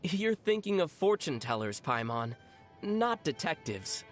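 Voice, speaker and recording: An actor voicing a calm, teasing young adventurer in a video game, with a dry, knowing manner. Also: mid-range voice, a little slow, music in the background. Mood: wry. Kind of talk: video game character voice acting.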